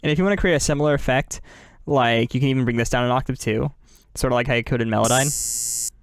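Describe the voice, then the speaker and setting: A man narrating a software tutorial in a casual, instructional way as its host. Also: medium pitch, quick pace, smooth, dry recording.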